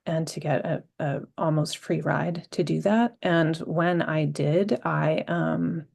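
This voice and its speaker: Gravelly, halting woman panelist. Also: deep voice, steady tone, a little mellow. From Vermont. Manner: reflective, halting with many ums and restarts. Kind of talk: personal account on a panel.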